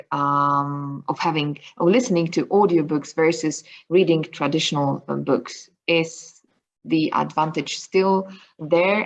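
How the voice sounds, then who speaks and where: Low gravelly woman moderating a call. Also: highs cut off. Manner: hesitant, many fillers. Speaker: conference moderator. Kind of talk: online conference Q&A. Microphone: video call.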